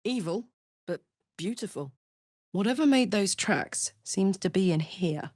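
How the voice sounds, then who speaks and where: A woman playing a brash, big-hearted tiefling barbarian in a video game, blunt, earthy and expressive. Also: mid-range voice, unhurried, dry recording, clean studio sound. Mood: alert, matter-of-fact. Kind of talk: video game character voice lines.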